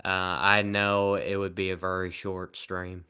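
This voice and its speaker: A tired man live streaming, rambling in a sarcastic, self-mocking way as he answers viewer comments at low energy. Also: flat delivery, measured pace, bright, forceful tone, clear, resonant tone.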